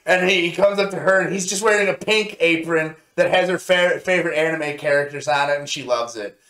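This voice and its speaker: A man's voice: on the high side, fairly quick, a bit bright. A man chatting casually as co-host of a review show, recounting scenes in an enthusiastic, rambling way. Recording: echoey, roomy sound.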